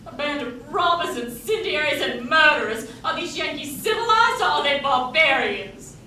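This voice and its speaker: A woman playing a haughty, volatile Confederate First Lady in a stage play, speaking in an imperious, dramatic, deliberate manner. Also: high-pitched, expressive, bright, forceful tone. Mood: horrified, outraged. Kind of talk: theatrical stage acting. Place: theatre stage, miked from a distance. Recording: echoey stage from far off, noisy.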